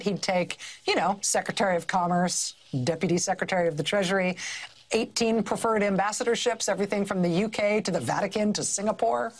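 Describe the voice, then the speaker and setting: A woman giving an explanatory news report in a conversational way, stressing key phrases. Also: mid-range voice.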